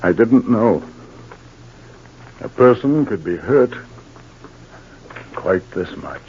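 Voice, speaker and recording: Anguished, swooping-voiced colonel. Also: male voice, lowish voice, expressive. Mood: heartbroken. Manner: pleading, halting, emotional. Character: heartbroken, disbelieving colonel betrayed by his sweetheart. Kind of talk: 1940s radio drama acting. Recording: muffled, noisy 1940s radio recording.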